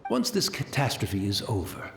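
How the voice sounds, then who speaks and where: A man's voice: fairly low pitch, huge pitch swings, moderate pace, gravelly, fairly bright tone, exaggerated voice. An actor playing a frail, humble old man in a video game, rambling with old-fashioned courtesy and sighs. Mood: wistful, resigned. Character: frail blind old tea master, humble, wistful, courteous. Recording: roomy echo with game music behind. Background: game music.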